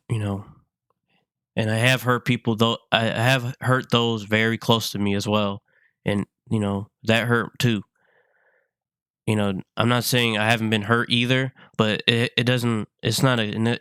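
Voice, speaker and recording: A man in his twenties, sick, talking in a halting, earnest way, often repeating himself in a personal vlog. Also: lowish voice, a bit bright, smooth, no echo, clean studio sound. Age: 20s. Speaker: vlogger.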